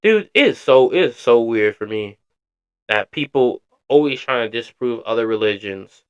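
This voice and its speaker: A man's voice: mid-range voice, clean tone, muffled recording. A man streaming casual reaction commentary, slangy and blunt, swearing freely.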